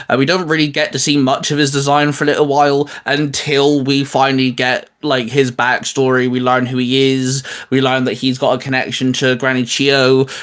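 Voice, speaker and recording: A man's voice: medium pitch, fairly bright tone. A man chatting casually and enthusiastically as co-host of a geek culture discussion show. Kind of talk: casual chat.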